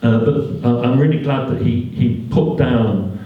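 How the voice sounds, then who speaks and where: A middle-aged man hosting an event, introducing a guest to the audience in an informal, measured, appreciative way with hesitations. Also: medium pitch. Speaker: event host and interviewer. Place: indoor event hall, on stage. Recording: muffled, very echoey hall, distant microphone.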